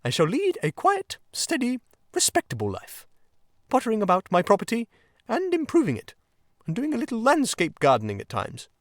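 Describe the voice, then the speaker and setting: High, swooping, gushing toad gentleman. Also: wildly swooping pitch, moderate pace, dry recording, clean studio sound, heavily acted. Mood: breezy, self-satisfied. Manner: gushing, plummy, melodramatic. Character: boastful, excitable, theatrical male toad gentleman. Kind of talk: audiobook character acting.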